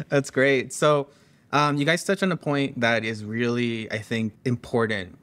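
A man's voice: mid-range voice, steady tone, a little slow, a bit bright, clean tone. A young man hosting a studio interview show, affirming his guests and asking them open questions. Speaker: host. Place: TV studio set, seated on couch.

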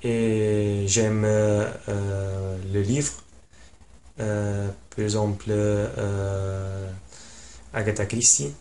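A young man with an Italian accent speaks slowly into a webcam in a small room at home. His low voice stays flat as he pauses often and fills gaps with hesitations. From Italy. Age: 20s. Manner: halting, many pauses and fillers. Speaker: language learner. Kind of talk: casual self-introduction.

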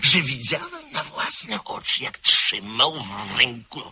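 An actor playing a nervous, guilt-ridden gentleman in a radio drama, speaking anxiously and breathlessly. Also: mid-range voice, wildly swooping pitch, slow pace, heavily acted. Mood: fearful. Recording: old radio play recording with light hiss.

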